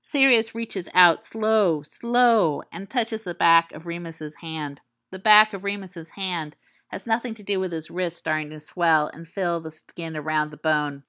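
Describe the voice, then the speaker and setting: A woman with a fairly low voice reads a story aloud, calm and measured. Her delivery is soft and steady, in the manner of an audiobook. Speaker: podfic reader. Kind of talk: audiobook reading of fan fiction.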